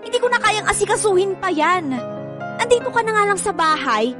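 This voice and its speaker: A woman's voice: very high voice, animated, brisk, over music. A woman playing an exasperated, reproachful working wife and mother in a radio drama. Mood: exasperated, scolding. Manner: scolding, reproachful. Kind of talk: Filipino radio drama acting.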